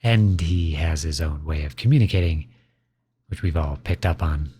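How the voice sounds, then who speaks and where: Man acting a deep-voiced, gentle outlaw. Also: normal speed, husky. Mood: matter-of-fact. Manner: gentle, reassuring, lightly teasing. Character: kindly, handsome young male outlaw, gentle and teasing. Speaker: audio roleplay voice actor. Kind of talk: intimate ASMR roleplay acting.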